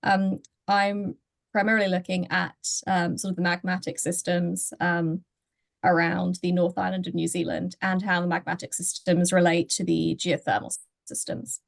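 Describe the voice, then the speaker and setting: Creaky, even-toned British woman. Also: on the low side, normal speed. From United Kingdom. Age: young adult. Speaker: panelist, geologist. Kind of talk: informal self-introduction.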